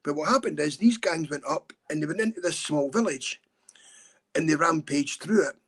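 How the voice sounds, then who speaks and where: Scottish man, middle-aged, fairly high voice, earnest urgent storytelling. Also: expressive, a bit bright, slightly rough. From Scotland. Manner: earnest, urgent, talks fast and at length. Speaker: campaigner and guest. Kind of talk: emotional casual storytelling.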